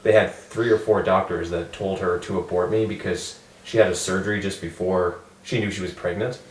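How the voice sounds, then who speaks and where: A man's voice: low voice, steady tone, a little fast. A man earnestly sharing a personal story with a men's group. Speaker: group member. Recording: roomy, distant mic, faint noise.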